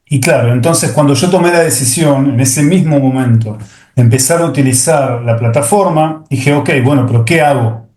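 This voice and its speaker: A middle-aged man, speaking as an interview guest and pausing often with fillers and restarts. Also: mid-range voice, darkish tone, reverberant. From Argentina. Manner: hesitant, many fillers and restarts. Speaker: guest, software executive. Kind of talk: interview conversation.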